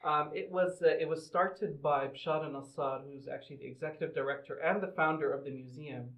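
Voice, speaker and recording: Male interview guest, middle-aged, slightly high voice, measured explainer, roomy echoing recording. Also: steady tone, fairly bright tone. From Lebanon and Qatar. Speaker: podcast guest, museum representative. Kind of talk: conversational interview answers.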